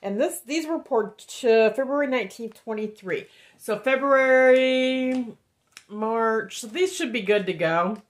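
A woman's voice: on the high side, a little slow. A woman, chatting casually in a haul vlog and sounding nasal from a head cold, with lots of ums. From Indiana, USA. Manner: chatty and rambling, many ums, reads cards aloud. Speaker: wax melt reviewer. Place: home room, indoors.

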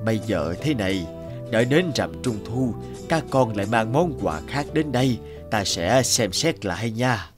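A man plays an aged village father in a cartoon folk tale. His voice is low, slow and measured, full of tenderness for his children. Music runs clearly underneath. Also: animated, close to an everyday voice. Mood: tender, solemn. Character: gentle frail old peasant father, slow and loving. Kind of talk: animated folk tale dialogue. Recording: music bed behind the voice.

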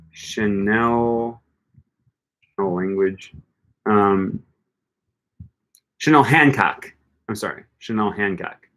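A man's voice: fairly low pitch, fairly bright tone, low-quality recording. A young man hosting a casual livestream, reading viewer comments aloud in an upbeat way with many ums and restarts, close to a desk mic in a small room. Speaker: language-learning channel host. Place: small room with bookshelf, indoors. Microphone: desk microphone close in front.

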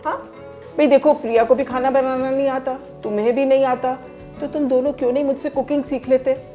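A woman's voice: high voice, steady tone, fairly quick, warm tone, lo-fi sound, soft background music, natural voice. A woman playing a teasing middle-aged mother. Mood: firm. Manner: teasing, motherly, mixes English phrases. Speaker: groom's mother. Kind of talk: scripted short-film acting. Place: home living room.

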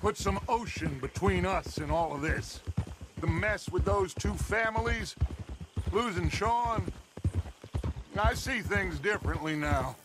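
A man's voice: sits high, measured pace. A man playing a commanding, grandiose outlaw gang leader in a video game, with an American accent and a theatrical, authoritative way of talking. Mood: brooding. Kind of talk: video game dramatic acting. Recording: horse hooves and outdoor noise behind.

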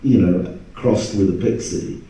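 A man's voice: lowish voice, a little slow, mellow. A man telling anecdotes casually as a panelist at a convention. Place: stage in a hall, recorded from audience camera. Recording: very echoey hall, distant microphone.

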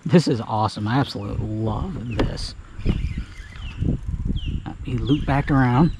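A man casually talking through a fishing trip from his kayak on a creek, relaxed and full of filler words, recorded on an action camera outdoors. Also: medium pitch, unhurried. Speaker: fishing guide. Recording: outdoor camera mic, audible background noise.

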